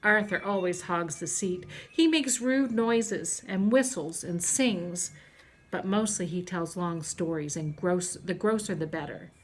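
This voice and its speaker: A woman reading a children's storybook aloud like a teacher, in an expressive, deliberate storytelling manner. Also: lowish voice, a little hiss or hum.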